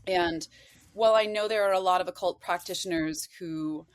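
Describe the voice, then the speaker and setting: A woman's voice: lowish voice, normal speed, dry recording. A woman talking as a podcast guest, explaining things in a casual, digressive, conversational way. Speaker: podcast guest, occult practitioner.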